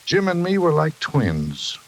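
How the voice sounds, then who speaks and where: A man's voice: a little high, huge pitch swings, takes it slowly, heavily acted. An actor playing a folksy middle-aged American railroad man who justifies himself talkatively, in old-time radio drama style. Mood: defiant, confessing. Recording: noisy, hissy vintage radio broadcast.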